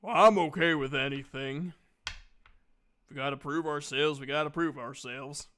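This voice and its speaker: A man narrating a tabletop fantasy game as game master, with halting phrasing. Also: fairly high pitch, pushed and bright.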